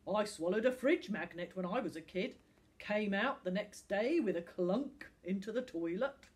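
A woman's voice: medium pitch, animated, normal speed, a little mellow, lightly acted. A woman voicing a blunt, scoffing man who works as a driver, in an audiobook story. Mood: scornful. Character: gruff, scornful male driver, blunt and mocking. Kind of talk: audiobook character acting.

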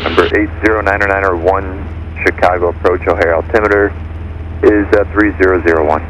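Deep, fast male radio controller. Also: steady tone, a bit bright, dull audio. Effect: aviation radio. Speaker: approach air traffic controller. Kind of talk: routine radio instructions. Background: faint aircraft noise.

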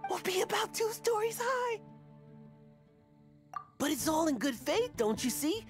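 A man's voice: very high-pitched, very animated, a little slow, heavily acted. A man playing a lovestruck young male idol fan in an anime game, earnest, stammering and theatrical. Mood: gushing, proud. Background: music.

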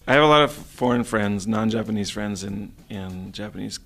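A man in his thirties from Los Angeles, a game programmer answering interview questions casually in a small office room, thoughtful and halting with many fillers, into a handheld mic. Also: lowish voice, fairly bright tone, faint music behind. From Los Angeles, USA. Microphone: handheld mic held by interviewer.

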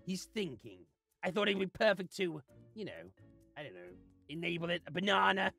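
A performer voicing an arrogant, exasperated creature who thinks itself a genius, raspy and theatrical, in a comic gameplay series. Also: mid-range voice, big pitch swings, brisk, sharp tone, faint music behind, full character voice. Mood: smug, dismissive. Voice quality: raspy, gravelly. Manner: theatrical, haughty, melodramatic rants. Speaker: voiced character in a gaming series. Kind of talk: voice-acted gameplay comedy.

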